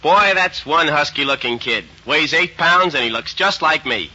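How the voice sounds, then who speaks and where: An eager, proud new father in an old radio commercial. He is folksy, with a slightly high, raspy voice and a dull recording. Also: moderate pace, exaggerated voice. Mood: proud surprise. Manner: folksy, excitable. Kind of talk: 1940s radio commercial acting.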